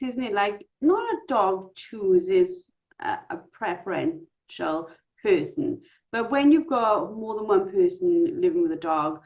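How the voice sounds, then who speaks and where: A woman co-hosting a podcast, chatting reflectively about dogs, sometimes in quick bursts. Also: mid-range voice. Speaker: podcast co-host. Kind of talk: casual chat about dog training. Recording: dull lo-fi audio.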